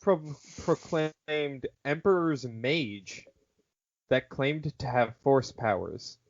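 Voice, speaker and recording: A man's voice: medium pitch, lively intonation, very slow, muffled recording. A man co-hosting a podcast, swearing in exasperation and reading entries aloud amid casual banter. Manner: profane, exasperated. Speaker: podcast co-host.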